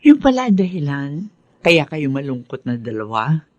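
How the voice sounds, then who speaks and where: Poor mother of five: tender, worried, highly expressive radio serial performance. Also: normal speed, no echo, muffled recording, soft background music. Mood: defensive, gentle. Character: loving, anxious, struggling mother of five. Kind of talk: emotional radio drama acting.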